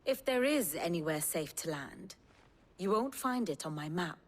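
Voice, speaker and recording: An actress playing an imperious, dry-witted scholar of war in a video game, lecturing in a British accent. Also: female voice, on the low side, animated, normal speed. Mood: sardonic. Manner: exacting, sardonic, lecturing. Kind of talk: video game cutscene acting, measured exposition. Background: prominent music.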